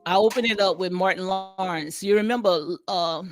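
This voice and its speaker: A woman's voice: medium pitch, normal speed, faint music behind. A woman with a Southern US accent giving rambling casual commentary on a reality show during a livestream, full of fillers, restarts and repeated phrases. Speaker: commentary vlogger.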